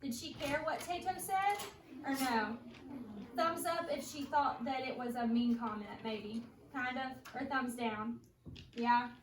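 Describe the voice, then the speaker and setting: A woman teacher with a high voice reads a picture book to young children in an emphatic, questioning way, echoing back what they answer. She is recorded at a distance from the microphone in a roomy, echoing space, with some faint noise behind her. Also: measured pace. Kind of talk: interactive storybook read-aloud. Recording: roomy echo, distant mic, background noise.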